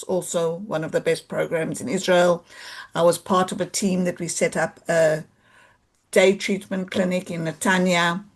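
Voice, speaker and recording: A South African woman in her fifties, an occupational therapist, giving a loose, chatty talk over a video call and sprinkling in Hebrew words. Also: on the low side. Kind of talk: informal online presentation.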